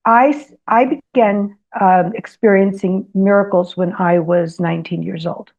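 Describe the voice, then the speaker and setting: A woman's voice: mid-range voice, measured pace. Hesitant Greek-native woman telling stories. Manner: hesitant, lots of ums, animated when telling anecdotes. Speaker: guest author. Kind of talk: storytelling in an interview. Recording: slightly dull lo-fi sound.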